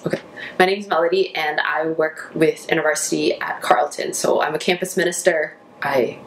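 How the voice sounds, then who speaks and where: Low-voiced woman chatting about friendship. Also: fairly even intonation, normal speed, slight background noise, poor audio. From Canada. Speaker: campus minister. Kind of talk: casual interview.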